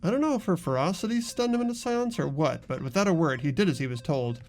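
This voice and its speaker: A man's voice: fairly high pitch, lively intonation, fast, darkish tone, soft background music. A man playing an earnest young student who narrates events in first person for a fan game dub. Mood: tense narration. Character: earnest ordinary young male student narrating his ordeal.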